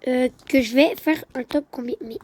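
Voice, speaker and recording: Woman, very high voice, excitable, slangy, repetitive, casual game commentary. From Quebec, Canada. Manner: excitable, repeats phrases rapidly, slangy. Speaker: gaming video host. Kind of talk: casual gaming commentary with banter.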